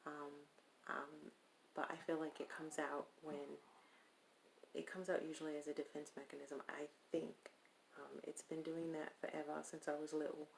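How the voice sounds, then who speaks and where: A low-voiced woman with a gravelly, creaky edge, chatting casually to her viewers in an accent she is putting on on purpose. She rambles and hesitates, pausing often as she goes. A faint hiss of noise sits under her voice. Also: flat delivery, low-quality recording. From Stockton, California. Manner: speaking in a deliberately put-on foreign-sounding accent; rambling, hesitant, with many pauses. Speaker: vlogger. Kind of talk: casual video chat.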